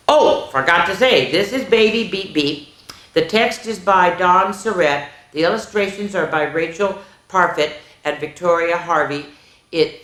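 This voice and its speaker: Female storytime reader for small children, highly animated and sing-song, addressing kids directly, recorded in a roomy, echoing space. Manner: expressive, exaggerated, sing-song with sound effects; chatty asides to young listeners. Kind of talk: animated read-aloud to young children. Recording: echoey room.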